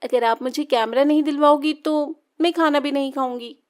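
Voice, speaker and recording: A woman's voice: sits high, steady tone, normal speed, natural voice. A woman playing a spirited, stubborn young daughter in a children's cartoon story, whiny, coaxing and dramatic. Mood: pouty, stubborn.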